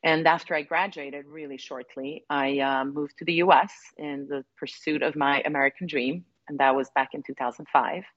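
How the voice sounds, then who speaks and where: A woman from Serbia in her forties speaks in a low register and reflects on her path, pausing often with fillers and restarting her sentences. The recording is dry and a little muffled. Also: steady tone, no room sound. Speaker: women's relationship coach. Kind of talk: conversational interview.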